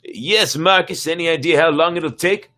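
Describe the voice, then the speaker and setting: A man's voice: a little high, moderate pace, bright, forceful tone. A man playing a cocky, bossy, sarcastic spaceship captain in a sci-fi story reading, brash and commanding. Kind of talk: dramatic reading of sci-fi script.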